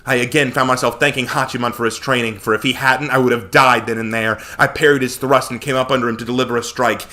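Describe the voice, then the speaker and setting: A man's voice: medium pitch, pushed and bright. A man reading a fantasy story aloud as dramatic, intense scripted narration. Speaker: story reader.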